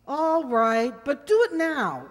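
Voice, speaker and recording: Woman acting high-voiced, anxious, insistent wife. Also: sing-song, slow pace, big-room sound, exaggerated voice. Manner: firm, nagging, earnest. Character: anxious, insistent wife and mother named Clara. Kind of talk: radio drama acting.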